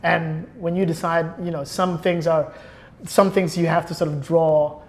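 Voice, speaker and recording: A man's voice: fairly high pitch, little pitch movement, measured pace. A man speaking as a podcast guest in a casual interview, reflective and thinking aloud.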